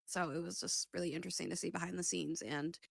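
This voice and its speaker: A woman's voice: fairly low pitch, fairly even intonation, fast, vocal fry, no room sound, studio quality. A woman co-hosting a podcast, chatting casually in slangy, sweary banter. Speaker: podcast co-host and aspiring voice actor.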